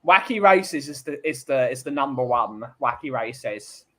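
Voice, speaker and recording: A man's voice: mid-range voice, lively intonation, measured pace, bright, forceful tone. A man co-hosting a podcast, chatting casually with emphatic enthusiasm. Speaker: podcast co-host. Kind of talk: casual banter.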